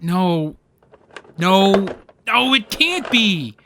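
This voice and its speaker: A male actor playing an excitable, nostalgic, nerdy man in a radio comedy play, with theatrical, geeky banter. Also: a little high, moderate pace, background noise. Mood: anxious, dramatic.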